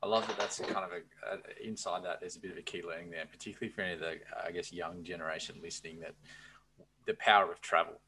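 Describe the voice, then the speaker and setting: A man hosting a podcast interview, asking questions in a relaxed, hesitant way with many fillers. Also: sits low, quick pace, a little hiss or hum, low-quality recording. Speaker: podcast host.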